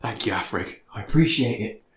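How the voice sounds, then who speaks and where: A man's voice: on the low side, animated, fairly quick, lightly acted. Man acting a folksy, echoey down-and-out beggar. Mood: apologetic, pleading. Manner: apologetic, effusive, folksy. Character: grateful, down-on-his-luck street man, humble and folksy. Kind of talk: audio drama acting.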